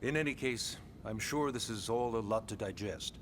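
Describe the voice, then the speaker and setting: Actor doing a senior official guy in a game, lowish voice, formal and polite, calm authority. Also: a little hiss or hum, close to an everyday voice. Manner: formal, deliberate, courteous. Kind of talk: English video game cutscene acting.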